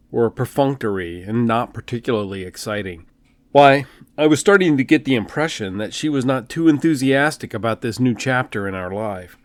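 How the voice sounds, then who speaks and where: A man's voice: medium pitch, no echo. A man narrating a first-person novel as an audiobook, in a dry, wry storytelling manner.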